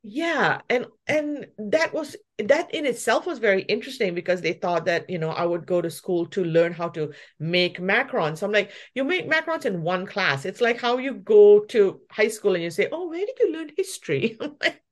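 A woman's voice: mid-range voice, animated, normal speed. Reflective woman from Malaysia. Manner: reflective, laughs often while telling her story. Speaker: guest, pastry chef and shop owner. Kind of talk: casual interview conversation.

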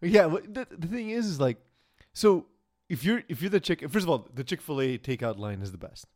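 A man co-hosting a casual sports talk show, rambling and laughing as he goes, often trailing off quietly. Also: a little high, very animated, a little fast. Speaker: podcast co-host.

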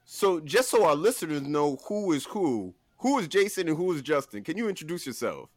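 A man's voice: fairly high pitch, sing-song, fairly quick, no echo. A man hosting a podcast, introducing the show with upbeat, emphatic announcer delivery. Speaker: podcast host.

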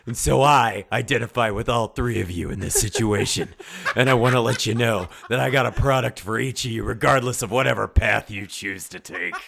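An actor playing an older male sponsor, a former spy who sells guns and ammo, with a low, gravelly voice and a folksy sales-pitch manner. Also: moderate pace, a bit bright. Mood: salesy. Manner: folksy ad pitch turning unhinged. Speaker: sponsor doing a live read.

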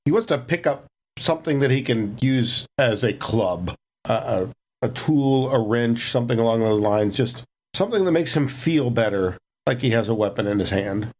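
A man's voice: medium pitch, expressive, normal speed, darkish tone. A man playing a tabletop game, chattily and wryly describing what his character does and thinking aloud. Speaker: player.

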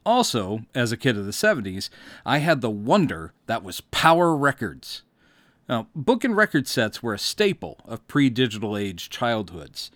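A man's voice: mid-range voice, sing-song, normal speed, a bit bright, dry recording. An American man in his fifties from Mississippi, hosting with scripted autobiographical narration in a wry, theatrical storytelling manner. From Mississippi, United States. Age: 50s. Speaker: podcast host.

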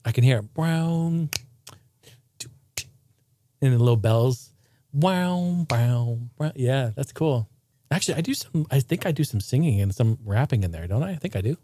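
A man hosting a casual chat, excitable and quick to laugh, often calling people dude. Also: mid-range voice, no echo, clean studio sound.

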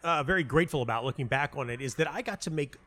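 A man's voice: fairly high pitch, lively intonation, racing, fairly bright tone. An American man speaking as an interview guest, telling his story casually and reflectively, often interrupting himself and repeating words. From United States (North Carolina). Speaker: interview guest, playwright and audio dramatist. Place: hotel lobby.

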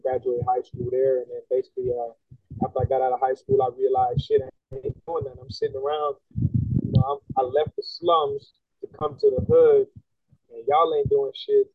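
A man's voice: medium pitch, normal speed, husky, no room sound. A young man from the Bahamas, a singer and songwriter, chatting casually as an interview guest, laid-back and slangy. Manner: laid-back, slangy, animated when making a point. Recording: tinny phone-like line, dry.

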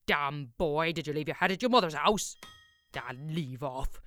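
A woman voicing a gruff, brusque older male blacksmith who barks his lines in a rustic, old-fashioned dialect, recorded dry. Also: low-pitched, lively intonation, moderate pace, fairly bright tone, no echo. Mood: scolding.

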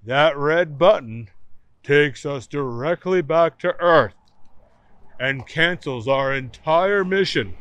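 A reader voicing a blunt crewman who gives loud, firm warnings in a voice near his own. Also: medium pitch, animated, slow pace, clear, resonant tone, no echo. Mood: stern.

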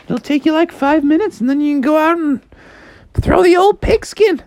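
A woman's voice: sits high, animated, moderate pace, a little mellow, no echo, voice pushed up. A woman voicing a peppy, overbearing mother frog in a storybook, emphatic and sing-song, full of encouragement. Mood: upbeat. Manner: sing-song, emphatic, pep-talk repetition.

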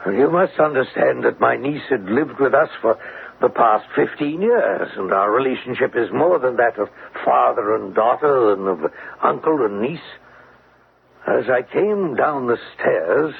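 A man's voice: mid-range voice, lively intonation, unhurried, vocal fry, slightly rough, heavily acted. An actor playing a stern, anguished middle-aged father with formal, theatrical delivery in an old radio drama. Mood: troubled. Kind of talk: vintage radio drama acting. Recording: tinny, hissy old radio recording.

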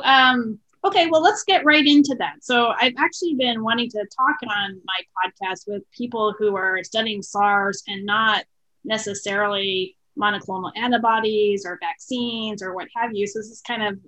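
A woman's voice: mid-range voice, sharp tone, rough recording. A woman hosting a podcast, interviewing guests in a casual, conversational way.

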